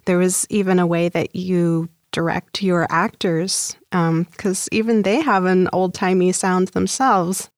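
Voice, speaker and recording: A woman's voice: lowish voice, steady tone, a little slow, few pauses, no echo, studio quality. A woman co-hosting a podcast, asking a guest questions in an informal, conversational way. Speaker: podcast co-host, interviewer.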